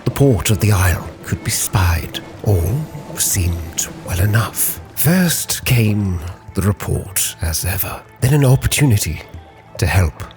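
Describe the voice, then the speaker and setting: A man playing a grave, driven Victorian sea captain, solemnly narrating his log with theatrical, weighty pauses over music. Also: fairly low pitch, expressive. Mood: grave, suspenseful. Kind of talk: dramatic scripted storytelling, ship's log in character.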